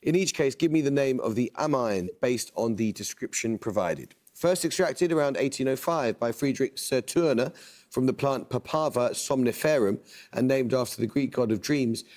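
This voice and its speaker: A man hosting a quiz show in a TV studio, reading questions in a measured, precise way. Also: medium pitch, animated, a little mellow.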